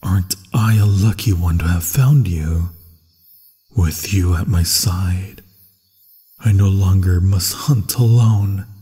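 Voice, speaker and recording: A predatory werewolf, acted out by a man, speaks low and very slowly in a dark, breathy voice that often sinks to a whisper. He is possessive and hungry, coaxing in a menacing way, as in an ASMR fantasy roleplay. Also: big-room sound, heavily acted. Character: predatory, possessive male werewolf hunting its human prey.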